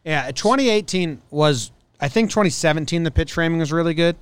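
A man's voice: a little high, expressive, a little fast, smooth, no room sound. Blunt, sweary man on a sports podcast. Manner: blunt, profane venting. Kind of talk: casual sports talk.

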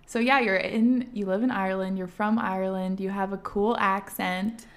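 A woman's voice: mid-range voice, steady tone, moderate pace. A young woman hosting a casual podcast, gushing and swearing freely. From Washington State, USA. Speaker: podcast host. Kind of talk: casual chat.

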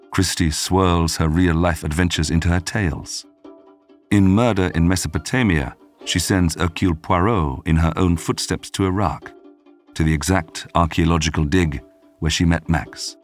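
A man narrating a scripted documentary podcast in a measured, polished storytelling manner. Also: deep voice.

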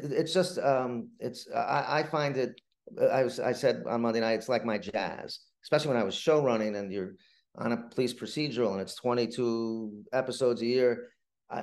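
Man telling stories with halting restarts. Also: medium pitch, creaky, darkish tone. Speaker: guest, playwright and TV writer. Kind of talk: casual anecdotal interview talk.

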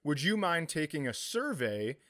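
A man's voice: a little high, very animated, a little slow, a bit bright. A man voicing a patient, polite woman working customer service, talking a caller through things in a comic story. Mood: helpful. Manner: polite, instructional.

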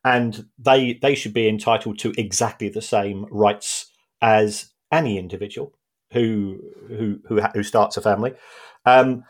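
A man co-hosting a casual football podcast, speaking in halting phrases full of restarts, with measured indignation. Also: on the low side, fairly even intonation. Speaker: podcast co-host.

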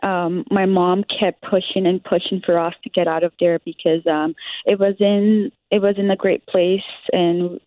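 A woman's voice: fairly low pitch, level tone, moderate pace, dry recording. A young woman from Liberia with a Liberian English accent, answering interview questions hesitantly with many fillers and laughs. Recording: dry, phone-like sound.

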